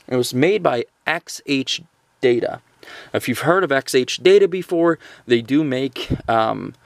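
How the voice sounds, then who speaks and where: A man hosting a casual, unscripted product review video, talking in a halting way with pauses mid-phrase. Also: mid-range voice, no echo.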